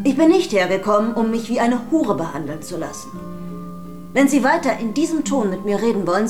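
An actress playing a tough, proud, no-nonsense Romanian police minder in a radio drama, firm and blunt. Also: female voice, fairly high pitch, close to an everyday voice. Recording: roomy echo, faint music. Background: faint music.

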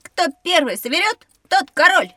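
A woman's voice: very high voice, sing-song, normal speed, bright, forceful tone, no echo, heavily acted. A woman voicing a cheeky young schoolboy who dislikes studying, childlike and grumbling, in a children's audio drama. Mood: curious, boastful.

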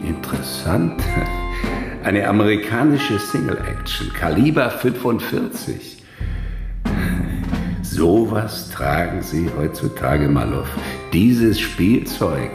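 An actor in a German radio drama playing a stern, condescending KGB deputy director with a measured, officious manner. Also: male voice, sits low, very animated, creaky, roomy sound, music in the background, exaggerated voice. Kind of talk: German audio drama acting.

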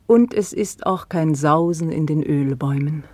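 An actress playing a troubled, brooding widow in a German radio play, speaking haltingly and intensely, often dropping toward a whisper. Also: female voice, low voice, darkish tone, dry recording. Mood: rejecting, sorrowful. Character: troubled, brooding widow Inés, estranged from her fiancé.

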